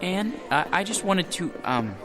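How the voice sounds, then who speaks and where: An actor playing a shy, awkward, earnest office clerk in a radio drama, speaking hesitantly. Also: male voice, high-pitched, very animated, unhurried, over music. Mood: nervous. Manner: hesitant, mumbling to himself.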